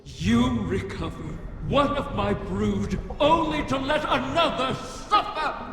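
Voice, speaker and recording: A woman's voice: sits high, fairly bright tone, a little hiss or hum. Echoing, imperious dragon mother. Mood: furious. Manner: slow, theatrical, commanding. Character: ancient female wish-dragon, wrathful, imperious, grieving mother. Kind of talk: video game creature acting. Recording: long cavernous reverb, music behind.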